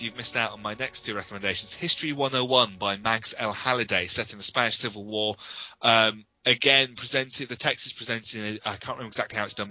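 A man's voice: lowish voice, sharp tone, muffled recording. A man co-hosting a podcast, giving detailed, bookish and opinionated reviews in an informal but partly prepared style. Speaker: podcast co-host. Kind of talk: informal review talk with prepared history segments.

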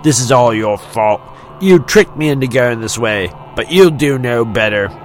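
A man's voice: mid-range voice, lively intonation, moderate pace, close to an everyday voice. A man voicing a fussy, irritable robot, muttering indignant blame in an audiobook, with music behind. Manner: petulant, indignant.

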